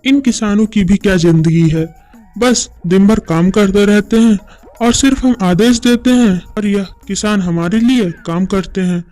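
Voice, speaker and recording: A man voicing a greedy, boastful rich village landowner in an animated moral story, with a bossy, self-satisfied manner. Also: sits high, measured pace, soft background music. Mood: smug.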